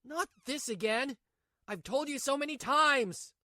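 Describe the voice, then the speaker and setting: A woman's voice: high-pitched, expressive, a little slow, sharp tone, no echo, studio quality, exaggerated voice. A woman voicing a selfish, irritable cheating husband with a dismissive manner in a manga dub. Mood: irritated, defensive. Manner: dismissive, snappy when challenged. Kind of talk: manga dub character acting.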